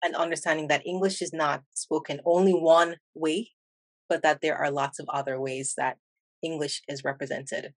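A woman with a Jamaican accent, an English teacher asking questions in a thoughtful, measured conversational interview. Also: deep voice, fairly even intonation, dry recording.

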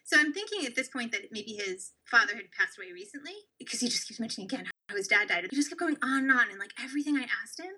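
Fast, bright-voiced woman telling a story. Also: on the high side, husky, low-quality recording. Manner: animated, acts out quoted lines. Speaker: co-host. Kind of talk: casual storytelling chat.

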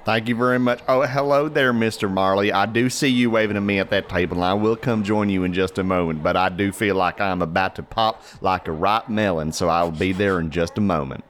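A man with a fairly low voice plays his tabletop character with exaggerated, wordy politeness. Every line comes out stiffly formal. Faint noise sits underneath. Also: brisk, few pauses, fairly bright tone. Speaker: player. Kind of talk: tabletop role-play, formal in-character speech.

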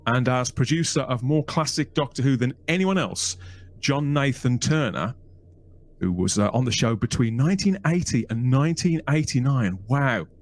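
A man's voice: medium pitch, animated, moderate pace. A man with a Birmingham accent hosting a podcast, reading a playful, chatty intro and poking fun at himself. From England. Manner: playful, chatty, self-mocking. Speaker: podcast host.

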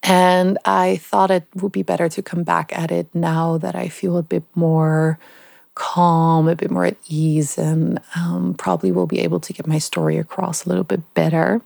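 Young woman podcast host, kinda low and croaky, stuffed-up nose from a flu, open and halting, faint music behind. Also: flat delivery, darkish tone, dry recording, studio quality. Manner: candid, halting, with many pauses and "yeah"s. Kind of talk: casual personal podcast monologue.

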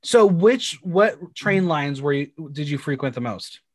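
A man with a somewhat raised speaking pitch hosts a relaxed interview. He asks questions and drops quick friendly interjections. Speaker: podcast host. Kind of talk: casual interview chat.

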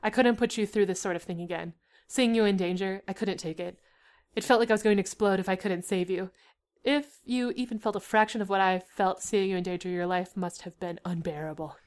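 A woman's voice: mid-range voice, fairly even intonation, brisk, darkish tone, natural voice. A woman voicing an earnest, devoted young man in a melodramatic romance novel read aloud. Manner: overwrought, melodramatic.

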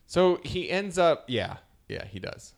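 A man in his thirties chatting casually as a podcast host, enthusiastic and quick to laugh. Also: a little high, big pitch swings, vocal fry. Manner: enthusiastic, laughs often while retelling scenes. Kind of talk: casual chat.

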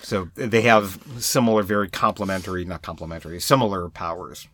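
A man co-hosting a casual comics discussion, halting and repeating words as he thinks aloud, with animated, profane asides. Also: deep voice. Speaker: podcast co-host.

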